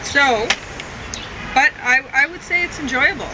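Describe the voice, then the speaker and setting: A woman's voice: high voice, unhurried, heavy background noise. A woman reviewing films in a casual, unscripted chat, rambling and often correcting herself as she goes. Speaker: film reviewer.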